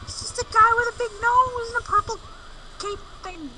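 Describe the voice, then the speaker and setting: A woman's voice: extremely high pitch, normal speed, noisy background. A woman co-commentating a let's play, teasing and sarcastically backseat-gaming the player. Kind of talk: casual gameplay commentary.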